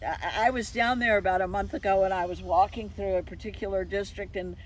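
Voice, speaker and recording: An animated woman talks through plans for a community event as one of its organizers. Her delivery is unscripted and conversational. She is recorded outdoors in a park, with clearly audible vehicle noise around her. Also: mid-range voice, fairly quick, poor audio. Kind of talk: unscripted interview talk promoting a community event.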